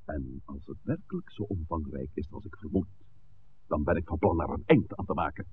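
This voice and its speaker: An actor playing an authoritative village priest in a 1960s radio drama, speaking in a measured, formal, admonishing way. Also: male voice, a bass voice, lively intonation. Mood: stern. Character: authoritative village priest, composed, stern peacemaker. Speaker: pastor. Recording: dull, noisy 1960s radio recording.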